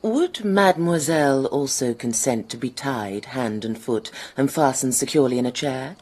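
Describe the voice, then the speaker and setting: A cold, scheming woman, issuing curt commands. Her voice sits extremely low and sounds husky, as in a radio drama. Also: lively intonation, normal speed, darkish tone, full character voice. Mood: commanding.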